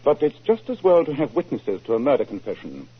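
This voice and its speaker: An actor playing a confident, superior British gentleman detective in a 1940s radio drama, authoritative and dryly condescending. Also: mid-range voice, very animated, brisk, slightly rough. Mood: commanding. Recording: muffled old 1940s radio recording.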